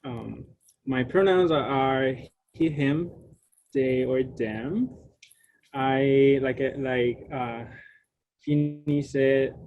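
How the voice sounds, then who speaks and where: A man's voice: medium pitch, drawn-out words, pauses often, narrow, tinny audio, a little hiss or hum. A young man from Puerto Rico whose first language is Spanish, giving an online science talk with many fillers and restarts. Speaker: graduate student speaker. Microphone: video call.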